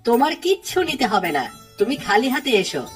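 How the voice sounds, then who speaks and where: A woman's voice: a little high, very animated, brisk, recorded from a distance. A woman voicing an eager, boastful young village boy in a cartoon, with music underneath. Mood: eager, helpful. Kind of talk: cartoon voice acting.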